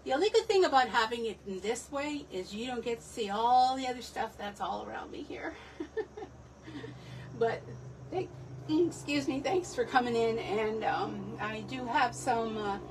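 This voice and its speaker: A woman's voice: on the high side, lively intonation, moderate pace. Rambling middle-aged woman losing her voice. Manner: rambling, self-deprecating, chuckles at herself. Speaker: stamping demonstrator hosting a live craft stream. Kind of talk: chatty live-stream talk. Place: home craft desk, indoors. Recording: distant from the mic, faint room noise.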